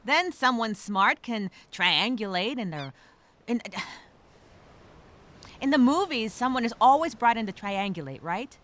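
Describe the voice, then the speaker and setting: Woman acting a bright, anxious castaway, animated voice. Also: a little high, measured pace. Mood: anxious, self-reassuring. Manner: rambling, nervous, self-questioning, sarcastic asides. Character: anxious stranded woman castaway journaling, nervously self-reassuring, wry. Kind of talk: first-person dramatic monologue as spoken journal.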